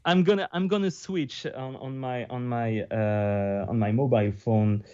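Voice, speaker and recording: A man with a French accent, French his first language, speaking as a guest on a video call, halting as he translates on the fly. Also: mid-range voice, darkish tone, clear, resonant tone, no echo, lo-fi sound. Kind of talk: casual interview over video call.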